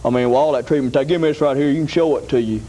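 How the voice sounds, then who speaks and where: A man's voice: medium pitch, very fast, warm tone. A man giving a rambling comic testimonial in a folksy, repetitive, emphatic way.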